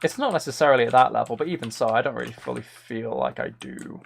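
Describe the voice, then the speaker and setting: A man's voice: medium pitch, wildly swooping pitch, quick pace, gravelly, husky. A young man chatting casually while he streams a game, rambling and self-deprecating into a close mic. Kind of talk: casual live-stream chat while gaming.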